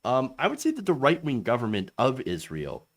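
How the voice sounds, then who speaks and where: A man hosting a casual political commentary, reading quotes and giving his opinion with many fillers and restarts. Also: moderate pace. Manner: lively pitch with frequent fillers and restarts; reads quotes aloud with raised pitch.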